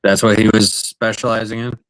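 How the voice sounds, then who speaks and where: A man co-hosting a paranormal talk show in casual chat, easygoing and joking, reacting quickly. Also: on the low side, flat delivery, fairly bright tone, dry recording, rough recording. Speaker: podcast co-host.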